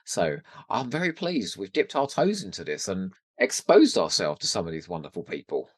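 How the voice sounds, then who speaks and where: A man's voice: deep voice, sing-song, moderate pace, husky, no echo, studio quality. A man co-hosting a podcast with a jokey, self-deprecating, rambling way of chatting. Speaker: podcast co-host. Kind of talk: casual chat.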